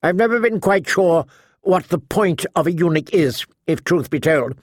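A man voicing a sharp-tongued old noblewoman in an audiobook, imperious, blunt and talkative. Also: fairly high pitch, animated, moderate pace, dry recording, studio quality, voice pushed up. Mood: tart, bossy. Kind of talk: audiobook character acting.

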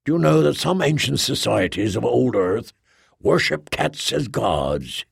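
A man voicing a huge, solemn male trader who speaks slowly and formally, with a dry, deadpan manner. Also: medium pitch, vocal fry, slightly rough, no echo, full character voice.

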